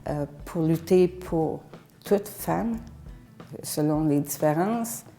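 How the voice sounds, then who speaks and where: An older woman from Alberta, English-speaking by birth, speaks as a feminist activist. Her voice is low, dark and gravelly, with a mellow warmth. She talks in earnest, halting phrases with pauses between them. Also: soft background music. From Alberta, Canada. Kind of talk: personal testimony.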